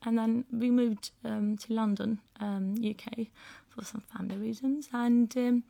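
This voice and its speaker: A woman's voice: fairly high pitch, fairly even intonation, creaky, dark tone. A woman from Turkey whose first language is Turkish, speaking English with a Turkish accent as a radio guest, hesitant with many fillers. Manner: hesitant, many pauses and fillers, laughs easily. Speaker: guest singer. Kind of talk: radio interview.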